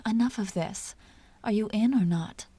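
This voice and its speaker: A mellow, husky vampire duchess, voiced by a woman murmurs close and dry, often slipping into a breathy whisper. She is imperious and possessive, teasing with a tender streak, in the manner of an intimate audio drama. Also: mid-range voice, normal speed, dry recording, natural voice. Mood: serious, persuasive. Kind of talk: intimate scripted audio roleplay.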